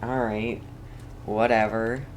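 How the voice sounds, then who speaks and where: Very low-voiced woman streaming games. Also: flat delivery, takes it slowly, a little hiss or hum. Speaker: game streamer. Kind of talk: casual gameplay commentary.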